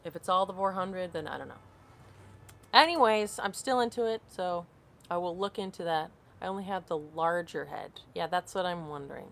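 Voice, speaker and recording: A woman's voice: fairly low pitch, normal speed, creaky, a bit bright. A woman in her late thirties hosting a casual live stream, answering viewer comments aloud in a rambling way. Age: 30s. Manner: rambling, reads comments aloud and loses her train of thought.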